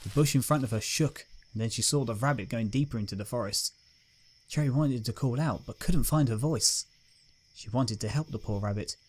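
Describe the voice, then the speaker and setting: A man's voice: mid-range voice, moderate pace, darkish tone, breathy edge. A man narrating a dramatic scripted story as its reader.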